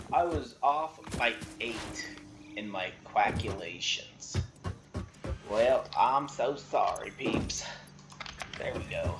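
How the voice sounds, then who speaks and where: A man's voice: mid-range voice, vocal fry, noisy background. A man giving casual, chatty commentary while he plays a video game, laughing often. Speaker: gameplay creator.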